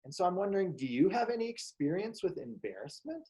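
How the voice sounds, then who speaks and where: A man hosting a psychology show for kids, speaking in a slow, calm, encouraging way. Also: high voice. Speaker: show host. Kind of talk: gentle talk to children.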